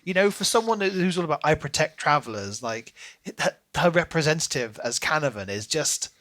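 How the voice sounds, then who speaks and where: A man co-hosting a podcast in a casual book discussion, speaking in an animated, emphatic, opinionated way. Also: on the high side, fairly quick. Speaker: podcast co-host.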